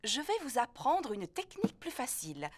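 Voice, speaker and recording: A woman's voice: high-pitched, moderate pace, noisy background. A kind cartoon mother zebra. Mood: gentle. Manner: gentle, teacherly, lively. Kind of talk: French cartoon dub.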